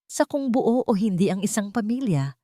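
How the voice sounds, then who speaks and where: A commanding CEO, voiced by a woman narrator, protective and calm but forceful. Each word is deliberate and weighty, in the style of a dramatic audio romance. The sound is very dry. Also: mid-range voice, dry recording, voice pushed up, close to an everyday voice. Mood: intense, righteous. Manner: grave, emphatic, deliberate speech-making.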